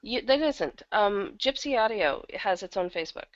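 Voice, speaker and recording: A woman's voice: mid-range voice, lively intonation, moderate pace, dry recording, lo-fi sound. A woman talking casually as a guest in an interview about her work as a producer. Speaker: guest, audio drama producer.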